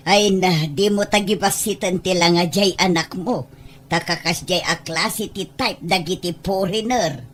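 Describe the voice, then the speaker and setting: A woman's voice: lowish voice, unhurried, slight background noise, lightly acted. A woman playing a friendly, chatty relative in an Ilocano family audio drama.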